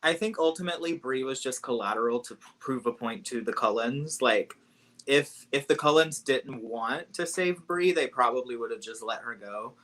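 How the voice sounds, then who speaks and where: A man hosting a casual book chat on a live stream, relaxed and chatty, laughing easily. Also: medium pitch, normal speed. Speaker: book discussion host. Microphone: video call.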